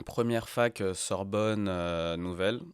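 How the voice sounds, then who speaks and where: Deep-voiced man in his thirties, Parisian accent. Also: slow pace, clear, resonant tone, dry recording, studio quality. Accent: Parisian French. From France. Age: 30s. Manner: relaxed, laughs often, many fillers. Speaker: guest, restaurant group director. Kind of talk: casual podcast interview.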